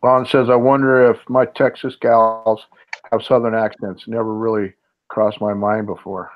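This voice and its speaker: A man's voice: lowish voice, flat delivery, normal speed, phone-quality sound. A man on a livestream who reads viewers' chat comments aloud and teases the others. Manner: teasing, mock complaining. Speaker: co-host reading live chat.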